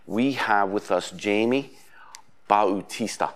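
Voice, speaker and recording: A man hosts a local community TV interview show. His voice sits on the low side, and he sounds enthusiastic but hesitant, leaning on frequent ums and uhs. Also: slow pace. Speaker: host.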